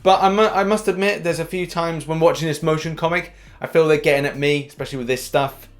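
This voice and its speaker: A man's voice: a little high, fairly even intonation, quick pace. A British man hosting an upbeat gaming news update, informal and cheeky, punching the starts of his phrases. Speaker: gaming news YouTuber and host.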